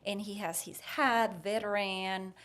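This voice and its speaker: Female podcast guest, native Spanish speaker with Puerto Rican accent, medium pitch, conversational storyteller who voices both sides of exchanges. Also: slow pace. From Puerto Rico. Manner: animated retelling with acted-out dialogue.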